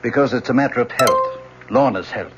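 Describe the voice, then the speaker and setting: An American actor playing a stiff, principled middle-aged professor and father in an old radio drama, speaking in a formal, measured, educated way. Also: mid-range voice, over music, natural voice. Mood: stiff insistence. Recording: muffled, faintly hissy old radio recording.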